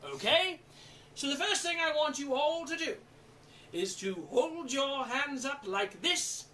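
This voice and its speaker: A performer playing an eager, flustered, bumbling storyteller in an interactive children's show, with an excitable, stammering, coaxing manner. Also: sits high, recorded from a distance, a little hiss or hum, heavily acted. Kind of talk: theatrical children's storytelling with audience participation.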